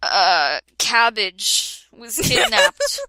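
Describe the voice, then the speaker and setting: Woman reading a parody story aloud: bright, slow, giggly, dry close sound. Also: mid-range voice, wildly swooping pitch, no echo. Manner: giggly, keeps breaking into laughter and sarcastic asides. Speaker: reader of a parody fan fiction. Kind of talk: comedic dramatic reading with a friend.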